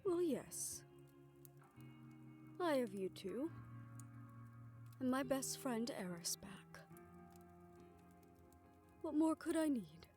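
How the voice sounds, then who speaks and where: A woman playing a gentle, forgiving survivor in an intimate audio drama, talking in a composed, reassuring, measured way. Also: high voice, sing-song, faint music behind, full character voice. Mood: tender, content. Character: gentle, forgiving woman, once experimented on, now at peace. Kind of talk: soft, intimate audio roleplay acting.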